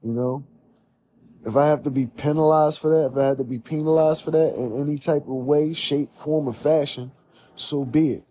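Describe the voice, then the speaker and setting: Young male host, solo monologue: earnest, preachy, husky and mellow. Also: a little high, fairly even intonation, moderate pace. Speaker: podcast host. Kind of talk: reflective monologue. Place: small room indoors.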